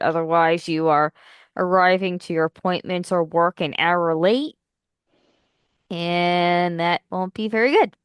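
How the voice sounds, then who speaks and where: A woman with a slightly nasal, head-cold voice hosts a relaxed podcast chat, taking her time with each phrase. Her tone is smooth and clean despite the cold, and the sound is close and dry. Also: lowish voice, no echo. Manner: chatty, giggly, self-correcting. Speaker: podcast host. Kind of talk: casual chat.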